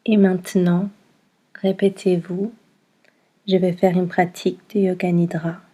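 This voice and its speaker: Slow, soft-voiced woman guiding meditation. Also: fairly low pitch, breathy edge. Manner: calm, soothing, unhurried with long pauses. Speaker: yoga nidra teacher. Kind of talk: guided meditation, soft instruction.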